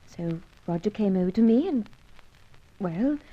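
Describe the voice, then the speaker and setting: A woman's voice: mid-range voice, lively intonation, a little slow, mellow. An actress playing a demure, apologetic young English woman in a radio adventure serial, speaking in a hesitant, coy, polite way. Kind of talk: old-time radio adventure serial acting. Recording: dull old radio recording.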